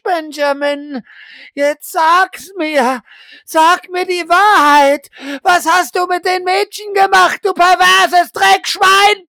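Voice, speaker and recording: A woman voicing a giant spider-woman creature, scornful and accusing, with drawn-out shrill outrage in an audio roleplay. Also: sharp tone, dry recording, studio quality, exaggerated voice.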